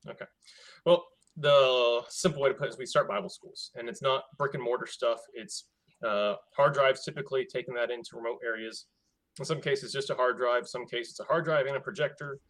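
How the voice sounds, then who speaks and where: A man's voice: mid-range voice, brisk. A man in ministry talking casually about his missions work over a video call. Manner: relaxed, chatty, loose and informal. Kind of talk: casual explanation.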